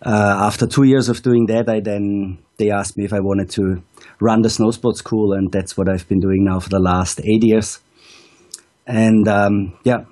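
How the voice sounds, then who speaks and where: A man with a low, mellow voice calls in to an interview over an online link. He tells his story steadily and methodically, with frequent "um"s between clauses. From Austria. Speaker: ski school director, guest. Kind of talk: casual interview answers. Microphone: calling in over an online video call.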